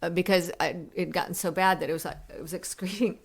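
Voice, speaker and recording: Low, gravelly woman telling stories. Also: steady tone, quick pace. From United States. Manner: reflective storytelling with many restarts and fillers. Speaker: musician guest. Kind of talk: casual interview conversation.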